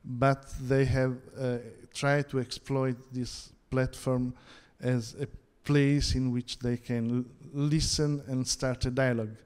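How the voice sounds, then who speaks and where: Italian-accented male panelist, hesitant delivery, slow pace, long hall reverb. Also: medium pitch, little pitch movement, darkish tone, smooth. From Italy. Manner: hesitant, many fillers and restarts. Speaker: conference panel speaker. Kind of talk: halting conference presentation. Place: theatre hall.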